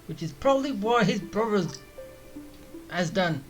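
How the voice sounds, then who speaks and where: A man's voice: high voice, animated, measured pace, faint music behind. A man casually reading along and reacting as he plays a story-driven video game. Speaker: gamer commenting on playthrough.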